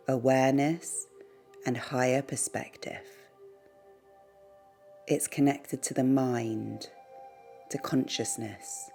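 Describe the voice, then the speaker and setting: A woman leading a guided meditation in a soothing, gentle, unhurried way, pausing between short phrases. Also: very deep voice. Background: background music.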